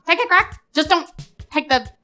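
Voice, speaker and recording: A woman's voice: very high-pitched, lively intonation, moderate pace, pushed and bright, pitched above the performer's own voice, heavily acted. A woman with a New Zealand accent voicing a small, bored, quibbling gnome ticket seller in a live tabletop game. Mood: matter-of-fact. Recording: music under a live stage recording.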